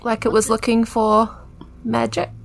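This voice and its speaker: A woman's voice: on the high side, monotone, measured pace, creaky. A woman, chatting casually as a player in a tabletop game.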